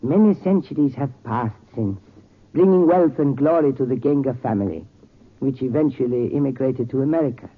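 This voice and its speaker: An actor in a 1940s radio drama playing a courteous Tibetan gem importer who tells tales in formal, measured, precise speech. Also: male voice, mid-range voice, animated, husky. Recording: tinny 1940s radio recording.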